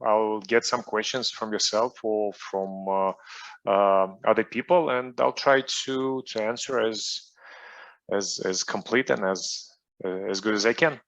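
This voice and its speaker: A man's voice: lowish voice, steady tone, a little slow, a bit bright, low-quality recording. A middle-aged man with a Russian accent, a soccer coach speaking in an online interview, hesitant with many fillers and restarts.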